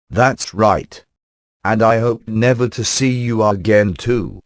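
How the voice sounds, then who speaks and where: Casting: crotchety, paranoid old crackpot for a game; grumbling folksy delivery that swoops about, dry studio sound. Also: male voice, fairly low pitch, no room sound. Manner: folksy, rambling, excitable, grumbling. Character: eccentric, cloud-paranoid old man, cantankerous ranting inventor. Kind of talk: video game character acting.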